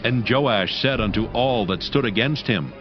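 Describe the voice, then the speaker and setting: A man narrating scripture from a script as a storyteller, solemn and measured. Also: mid-range voice. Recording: dull sound, faint music behind.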